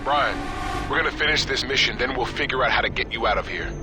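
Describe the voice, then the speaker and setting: An actor playing a cautious, suspicious American mercenary in a game cutscene, measured and questioning. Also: male voice, medium pitch, natural voice. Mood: serious. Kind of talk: video game cutscene acting. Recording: loud music and engines behind.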